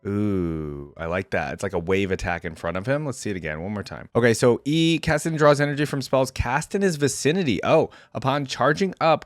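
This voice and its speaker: A man's voice: medium pitch, dry recording, clean studio sound. A man giving casual, informal gaming reaction commentary as a video host, enthusiastic and rambling.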